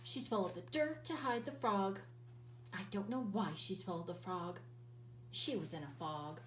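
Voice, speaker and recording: A woman teacher reading a children's picture book aloud in an expressive, sing-song storytelling voice, drawing out words. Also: mid-range voice, moderate pace, roomy sound, recorded from a distance, a little hiss or hum.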